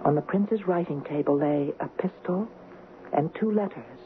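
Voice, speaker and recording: An actress playing an impassioned, romantic young fiancée in a 1970s radio drama, with dramatic pauses. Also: female voice, low voice, music in the background, lightly acted. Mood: hushed, intense. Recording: muffled narrow-band old radio with noise.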